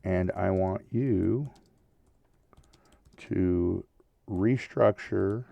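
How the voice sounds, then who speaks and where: A man's voice: deep voice, very slow, vocal fry, no echo, recorded from a distance, dull audio. A man hosting a casual screen-share tutorial, talking through each step informally and often checking in with a short tag question. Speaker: tutorial host.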